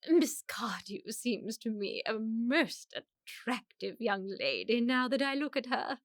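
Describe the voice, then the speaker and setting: Posh english society matriarch, imperious and pompous, really swoopy voice, dry close sound, radio play. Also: female voice, fairly high pitch, expressive, no room sound. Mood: condescending. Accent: upper-class English. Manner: pompous, emphatic, grandly drawn-out pronouncements. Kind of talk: radio play comedy of manners.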